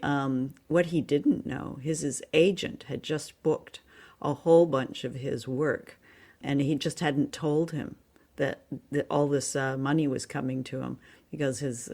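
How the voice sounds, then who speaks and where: A woman co-hosting a podcast, telling things informally in a halting, conversational way. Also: a bass voice, creaky, a little mellow. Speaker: podcast co-host. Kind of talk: casual chat, informal storytelling.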